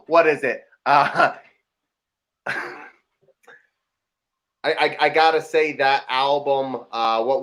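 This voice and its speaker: A man's voice: medium pitch, fairly bright tone. A man playing a nerdy, hesitant man on a date in an improv comedy scene. He stammers and is pedantic about band trivia. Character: nerdy man dater, Smash Mouth fan.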